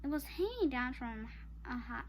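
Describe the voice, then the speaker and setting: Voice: sits high, sing-song, moderate pace, a little hiss or hum. A child reading a children's chapter book aloud to listeners in an informal way. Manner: informal, apologizes and speaks straight to listeners. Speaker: storybook reader for online viewers.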